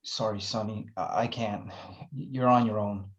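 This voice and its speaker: A man's voice: fairly low pitch, fairly even intonation, measured pace, close to an everyday voice. A man playing a harried, cowardly bar boss in a scripted drama table read, on dull audio. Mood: fearful, apologetic.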